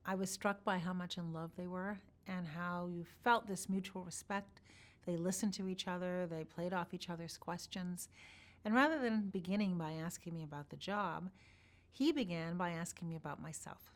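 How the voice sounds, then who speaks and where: Woman interviewee, lowish voice, unhurried reflective storyteller. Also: steady tone. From born in Iran, grew up partly in Chicago. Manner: measured, thoughtful, warm recollection with pauses. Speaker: interview guest.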